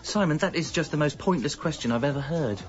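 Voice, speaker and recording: A man's voice: fairly high pitch, fairly quick, lightly acted. An actor playing a middle-aged British married man who confesses his double life in a wry, articulate, self-mocking way, as radio comedy narration. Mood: exasperated. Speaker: narrator and lead character. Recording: dull lo-fi sound, faint music.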